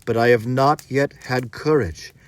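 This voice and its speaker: Slow-paced man reading gothic horror. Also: medium pitch. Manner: measured, theatrical, expressive. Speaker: audiobook narrator. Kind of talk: dramatic first-person gothic horror story reading. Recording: music under the voice. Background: music.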